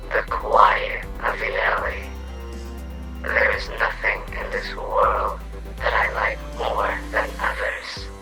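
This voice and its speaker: A man playing an emotionless, cryptic enigma in an audio drama, speaking flatly and ominously in a gravelly whisper. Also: lowish voice. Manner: flat, slow, ominous. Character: emotionless, cryptic enigma, a nameless void of nothingness. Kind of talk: audio drama character acting.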